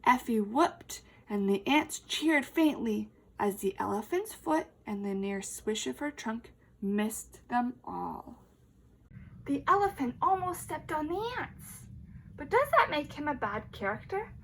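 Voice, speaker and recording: A woman's voice: a little high, a little slow, breathy edge, rough recording. A woman teacher reading a storybook expressively to young children, speaking to them directly and putting questions to them. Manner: animated, emphatic, addressing young listeners directly.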